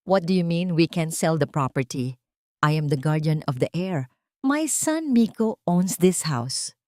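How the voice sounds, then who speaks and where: A woman's voice: low voice, lively intonation, unhurried, warm tone, dry recording, clean studio sound. A woman voicing a haughty, ambitious rich mother who speaks in an imperious, demanding way in a narrated story. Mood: shocked, angry.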